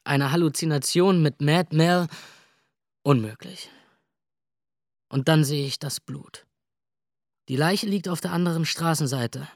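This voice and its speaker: A wry, jittery young man tells the story in a radio play, his voice sitting a touch high. He talks with sardonic, dry asides. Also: unhurried, long pauses, dry recording. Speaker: narrator. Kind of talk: radio drama first-person narration.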